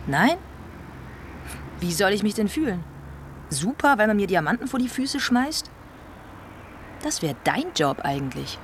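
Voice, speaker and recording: A woman's voice: medium pitch, big pitch swings, unhurried, pauses often, a little hiss or hum. An actress playing a nervous, conflicted woman confiding in her partner, hesitant and defensive, in a naturalistic radio drama. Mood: uneasy, irritated.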